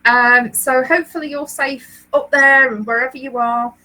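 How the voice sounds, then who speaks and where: A woman's voice: high voice, fairly even intonation, moderate pace, sharp tone, low-quality recording. A woman from Leeds, co-hosting a knitting livestream from home, chatting casually with lots of ums and easy laughs. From England, Leeds area. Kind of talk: casual chat, reading viewer comments.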